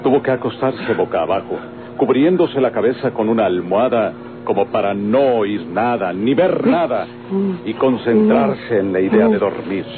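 A man with a Mexican accent giving solemn, deliberately phrased narration for a dramatic radio serial. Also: medium pitch, lively intonation, creaky, noisy background. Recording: tinny old radio recording, music behind.

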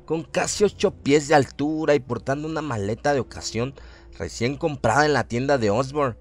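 A man narrates a horror tale as a radio drama. His voice sits at a middle pitch, and he delivers the literary prose in a measured storyteller's way.

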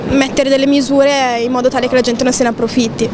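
A woman's voice: high voice, flat delivery, fast talker. A woman in her twenties earnestly explaining her view to a street interviewer. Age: 20s. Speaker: passerby. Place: city arcade at night, outdoors. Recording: street noise.

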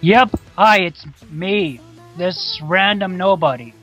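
A man's voice: high-pitched, slow, a bit bright. A man with a stammer that repeats syllables, casually explaining the game he is playing. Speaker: guest player on commentary. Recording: dull lo-fi voice track, music behind. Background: video game music.

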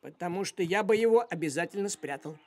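An actor voicing a bossy, fussy talking farm cat in an animated cartoon, with a commanding, nagging, excitable manner. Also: mid-range voice, quick pace, lightly acted. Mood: defensive. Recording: music behind the voice.

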